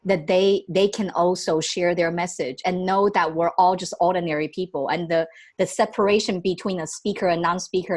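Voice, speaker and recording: A woman's voice: on the low side, a little mellow. A young woman from Taiwan whose first language is Chinese, chatting as a podcast guest with frequent laughs and restarts. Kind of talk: casual interview chat.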